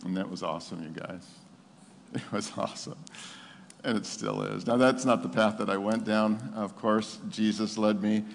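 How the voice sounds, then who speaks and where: At the pulpit of a big echoing hall, a man of seventy with a creaky, gravelly voice tells his own story to the congregation, driving phrases home. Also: fairly low pitch, fairly even intonation, normal speed, slight background noise. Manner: emphatic, repeats phrases for effect. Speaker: pastor preaching to his congregation. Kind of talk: sermon with personal testimony. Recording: long hall reverb.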